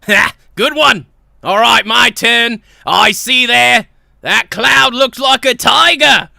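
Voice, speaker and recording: A man voicing a hot-headed, bombastic boss with a booming, commanding delivery. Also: takes it slowly, vocal fry, sharp tone, heavily acted. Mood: gleeful.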